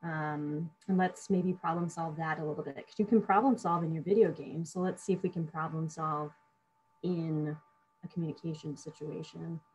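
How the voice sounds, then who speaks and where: A woman who works as a speech-language pathologist, explaining her therapy approach in a relaxed conversation and working through examples of what she asks her clients. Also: deep voice, moderate pace, slight background noise, rough recording. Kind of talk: casual professional conversation.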